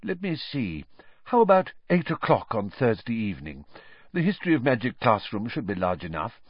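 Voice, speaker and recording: A man voicing a gentle, thoughtful male magic teacher who explains things in a measured way for an audiobook. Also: medium pitch, big pitch swings, slightly rough, no room sound, highs cut off, voice pushed up. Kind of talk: audiobook character acting.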